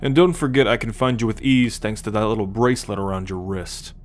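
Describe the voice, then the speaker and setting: A man's voice: fairly low pitch, fairly quick, a little hiss or hum, close to an everyday voice. A man voicing a cocky, teasing alien military scout and possessive captor in an ASMR roleplay, boastful and sardonic with slangy swearing. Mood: slow, commanding. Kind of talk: ASMR roleplay acting addressed to the listener.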